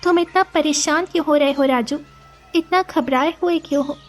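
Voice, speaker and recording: A woman voicing an anxious young village woman in love in an animated moral story, earnest and worried. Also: sits high, moderate pace, faint music behind, close to an everyday voice.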